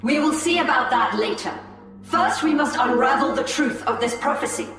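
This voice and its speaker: A solemn, determined elf prophetess in a game. Her measured voice sits a little high. It echoes heavily from a distance, with music beneath. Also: animated, fairly bright tone. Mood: resolute. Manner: measured, formal. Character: resolute, solemn female alien elf seer. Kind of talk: video game cutscene acting. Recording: cavernous reverb, distant, over music.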